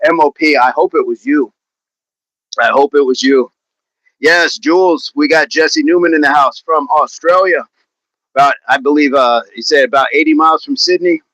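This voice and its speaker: A man's voice: a little high, normal speed, pushed and bright, dry recording, poor audio. A man hosting a fitness livestream, chatting casually and answering viewer comments in an upbeat, laughing, repetitive way. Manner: chatty, upbeat, laughs often, repeats phrases.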